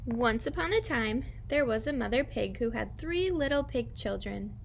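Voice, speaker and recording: A woman teacher reading aloud and talking directly to young children in simple, expressive language. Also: a little high, normal speed, creaky, slight background noise. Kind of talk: children's read-aloud and lesson.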